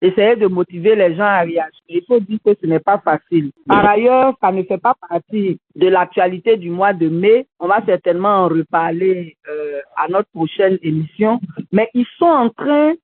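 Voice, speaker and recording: A woman with an Ivorian French accent hosting a civic radio show over a video call, speaking in an earnest, critical, measured way. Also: lowish voice, animated, no room sound. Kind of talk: commentary and answering callers. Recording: dry, tinny video-call audio.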